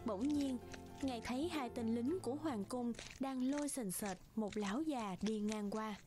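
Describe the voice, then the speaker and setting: A woman's voice: a little high, measured pace, music in the background. A woman reading scripted fairy-tale narration for a cartoon as the storyteller.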